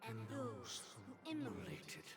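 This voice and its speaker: A woman's voice: medium pitch, huge pitch swings, takes it slowly, gravelly, recorded from a distance, heavily acted. A woman voicing a menacing, grandiose, bodiless ancient master of dreams in a video game, speaking in formal, ominous pronouncements. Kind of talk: theatrical video game voice acting. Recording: echoing, processed game audio under loud music.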